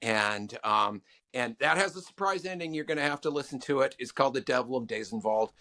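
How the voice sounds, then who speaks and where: A man being interviewed as a songwriting guest, talking in halting stops and restarts with many fillers. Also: bright, forceful tone. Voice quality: low voice. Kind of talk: podcast interview answers.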